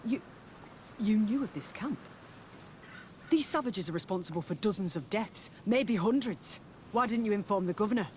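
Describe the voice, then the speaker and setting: A suspicious colonial scout from a video game, hostile and quick to accuse. He speaks in a curt, soldierly way. Faint low noise sits behind him. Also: lively intonation, a little mellow. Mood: accusing. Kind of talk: video game cutscene acting.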